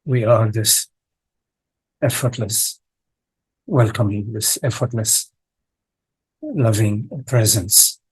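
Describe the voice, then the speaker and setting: A man with a lowish, husky voice guides a meditation. He speaks in short, halting phrases and leaves long pauses between them. The sound is dry and close. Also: no room sound, low-quality recording. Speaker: meditation teacher leading a live online session. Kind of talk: guided meditation, slow contemplative speech.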